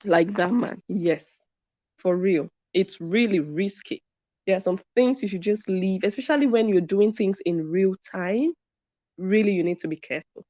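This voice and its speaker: Emphatic woman, mellow and dry. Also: medium pitch, expressive, moderate pace, dry recording. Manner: emphatic, repeats points for stress. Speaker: commentary channel host. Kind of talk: live-stream commentary reading viewer comments. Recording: dull lo-fi sound.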